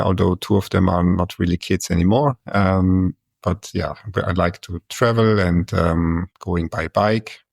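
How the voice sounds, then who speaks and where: A man's voice: deep voice, vocal fry, a little mellow, no echo, clean studio sound. A middle-aged man from Austria with an Austrian German accent, a neurologist answering interview questions in a hesitant way with frequent restarts. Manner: hesitant, many restarts and fillers. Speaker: neurologist and guest.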